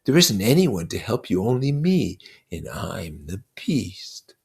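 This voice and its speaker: A narrator voicing a menacing, mocking pig's head, the beast, with drawn-out schoolmasterly condescension in a story read aloud. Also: low voice, very animated, gravelly, darkish tone, slightly rough, pitched above the performer's own voice, full character voice. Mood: menacing, sly.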